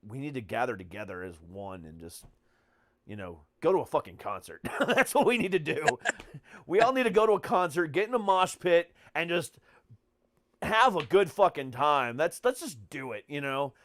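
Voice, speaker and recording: A man's voice: on the high side, animated, moderate pace, fairly bright tone, no room sound. American guy hosting a podcast, blunt and sweary, just chatting, dry close sound. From United States. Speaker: podcast host and comedian. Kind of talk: casual podcast chat.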